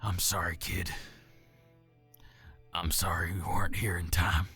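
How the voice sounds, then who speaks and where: A scarred old orc mentor speaks low and hushed, in a deep, throaty, clenched rasp with a subtle Southern twang, as a man puts him on. He sounds worn by a long, hard life yet gentle in how he speaks. Faint music plays softly behind. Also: flat delivery, unhurried. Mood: sorrowful. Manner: quiet, hushed, clenched delivery.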